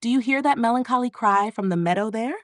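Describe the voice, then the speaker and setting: An old cowherd read by a woman explains country lore. The storybook delivery is plain and unhurried, with a dry, close sound. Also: fairly high pitch, no echo, pitched above the performer's own voice, lightly acted. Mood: matter-of-fact.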